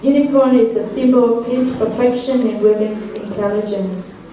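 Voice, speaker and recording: A woman announces a stage show in a scripted way. Her voice is fairly high, and it comes through very echoey, picked up from some distance in the hall. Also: steady tone, normal speed, mellow, a little hiss or hum. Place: echoey theatre, heard through the audience's distant camera. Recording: very echoey hall, captured from far off.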